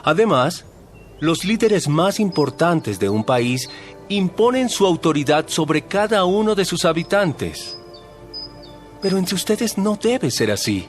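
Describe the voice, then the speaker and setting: A man playing a calm, authoritative male teacher, speaking in a measured, solemn way in a dramatized audio Bible. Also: high voice. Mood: earnest teaching. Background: soft music.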